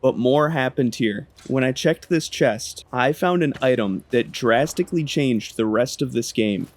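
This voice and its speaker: A man's voice: mid-range voice, measured pace. A man narrating a gaming video in a casual, wry, slangy way. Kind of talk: casual gameplay commentary.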